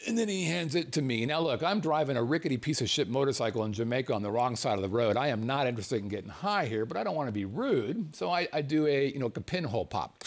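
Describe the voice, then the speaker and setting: A man from the United States, a show host, telling a personal anecdote in a casual, animated way and chuckling as he goes. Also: medium pitch, quick pace. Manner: animated storyteller, chuckles through his words.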